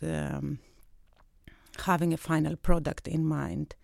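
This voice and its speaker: A woman's voice: deep voice, little pitch movement, slow, gravelly, a little mellow, no echo. A woman from Greece in her thirties, a research associate and PhD candidate, giving thoughtful interview answers in a studio and searching for her words now and then. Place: recording studio.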